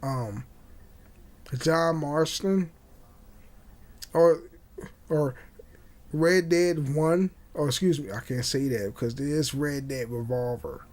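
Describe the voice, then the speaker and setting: A young man hosting a podcast, chatting casually and haltingly, with repeated words and frequent swearing. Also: fairly high pitch, a little mellow. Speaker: podcast host. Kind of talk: casual rambling chat with swearing. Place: small home room indoors. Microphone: condenser mic on boom arm.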